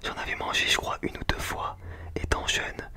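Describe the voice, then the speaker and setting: A man speaking in a breathy, unvoiced whisper, chatting casually and in slang in an ASMR tasting style. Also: moderate pace. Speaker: ASMR food-tasting video creator.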